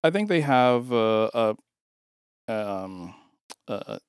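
A man with a Chicago accent co-hosting a podcast in halting, easy-laughing casual chat. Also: fairly low pitch, animated, smooth, dry recording, studio quality. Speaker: podcast co-host.

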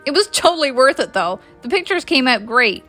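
A woman with a Newfoundland accent voicing a warm, firm, good-humored young woman in a story, emphatic and friendly. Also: sits high, sing-song, a little fast, soft background music, heavily acted. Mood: cheerful.

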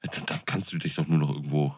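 A man's voice: rumbling low, fairly even intonation, fast talker, a little mellow, husky. A man co-hosting a podcast in casual, colloquial chat. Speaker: podcast co-host.

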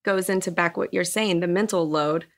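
A woman's voice: on the low side, moderate pace. A woman speaking candidly and frankly in conversation, explaining how she feels and repeating herself for emphasis. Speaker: therapy client, wife and mother.

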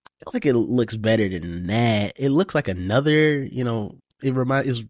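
A man's voice: medium pitch, expressive, normal speed, darkish tone, dry recording, studio quality. A man co-hosting a podcast, telling stories in a laid-back casual chat full of "bro" and "you know". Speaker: podcast co-host.

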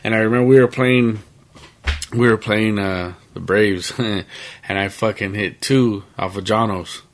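A man in his late twenties chats as a guest on a podcast. He rambles and leans on fillers like "like" and "bro", and he swears a lot. His voice sits somewhat low. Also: steady tone, unhurried. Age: 20s. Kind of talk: casual podcast chat.

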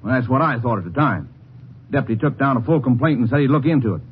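A man voices an indignant, folksy businessman in a 1950s radio drama, talking quickly and plainly burned up. The sound is muffled and lo-fi, like an old broadcast with a faint hiss underneath. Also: medium pitch, lively intonation, close to an everyday voice. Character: irate traveling businessman, middle-aged.

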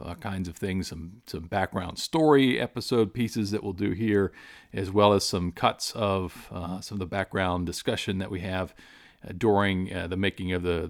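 Male podcast host, slightly low voice, loose and rambling, lots of ums and uhs. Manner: rambling, filler-heavy, friendly delivery. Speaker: podcast host and game master. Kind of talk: casual thank-you address to supporters.

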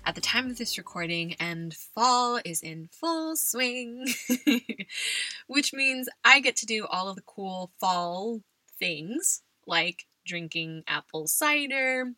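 A woman's voice: mid-range voice, animated, slow, sharp tone, no room sound. A young adult woman from California hosting a podcast, telling personal stories conversationally and laughing often. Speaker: podcast host.

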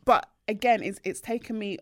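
A young British woman co-hosting a podcast, telling stories in a candid, animated way. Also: medium pitch, moderate pace. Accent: British English. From Nigeria heritage, raised in Britain. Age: young adult. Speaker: podcast co-host. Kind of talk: casual podcast chat.

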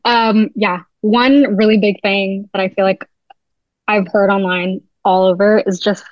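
A woman's voice: medium pitch, fairly even intonation, measured pace, no room sound, highs cut off. A young woman from New Jersey, a writer guesting on a podcast, talking chattily with lots of "like.". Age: young adult. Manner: chatty, heavy use of "like". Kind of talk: casual interview chat.

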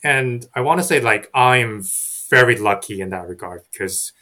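A young man from Korea, a native Korean speaker, talking as a podcast guest in a soft, hesitant way with many fillers and restarts. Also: medium pitch, expressive, moderate pace. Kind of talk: casual interview chat.

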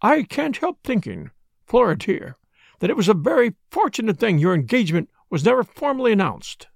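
A man's voice: sits high, huge pitch swings, normal speed, vocal fry, no echo, studio quality, pitched above the performer's own voice, exaggerated voice. A man voicing a fretful, self-pitying older mother in a storybook, plaintive, fussy and rambling.

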